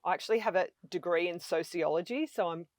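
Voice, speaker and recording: An Australian woman in her fifties tells her stories in an eager, conversational way. Her voice sits on the low side, and the recording is dry and close. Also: fast talker, darkish tone, no room sound. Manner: chatty, enthusiastic storyteller. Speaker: travel vlogger guest. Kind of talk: casual conversation.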